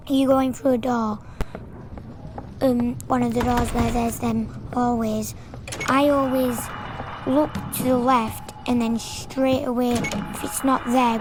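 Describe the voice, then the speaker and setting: A woman with a croaky voice, narrating her own gameplay in a chatty, rambling way with fillers and restarts. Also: fairly high pitch, noisy background. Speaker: gaming video narrator. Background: loud game music.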